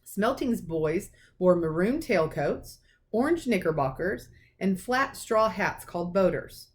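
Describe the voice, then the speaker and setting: A woman reading a children's storybook aloud as a teacher, with expressive narration. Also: fairly low pitch, measured pace. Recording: clearly noisy recording with clicks.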